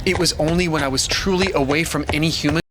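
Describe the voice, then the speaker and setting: A man's voice: fairly high pitch, steady tone, fast, fairly bright tone. A man playing a young, newly powerful student hero, narrating in the first person in a dramatic, suspenseful, defiant manner. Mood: grave resolve.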